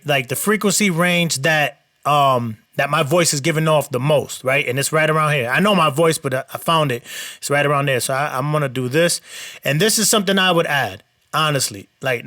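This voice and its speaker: A man's voice: a little high, no room sound, studio quality. Nasal man explaining a mix. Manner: relaxed, rambling explanations with fillers. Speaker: mixing engineer and channel host. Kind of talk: casual screen-share tutorial.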